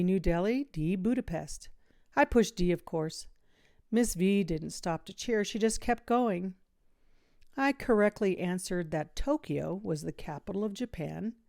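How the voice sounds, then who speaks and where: A woman reading a children's story aloud in expressive, measured, clearly phrased narration. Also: on the low side, dry recording, clean studio sound. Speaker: audiobook reader, teacher.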